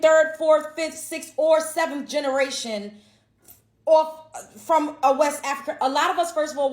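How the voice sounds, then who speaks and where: An American woman talking bluntly and emphatically in a casual opinion piece, with some swearing. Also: high-pitched. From United States. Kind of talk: casual opinion talk to camera. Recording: echoey room.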